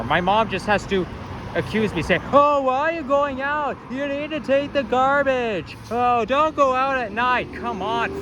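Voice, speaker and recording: A young man with a high voice vents his frustrations with plenty of swearing. He talks into a handheld phone while walking along a city street. Heavy traffic noise fills the background. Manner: frustrated, profane venting. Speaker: vlogger. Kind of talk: walking vlog rant.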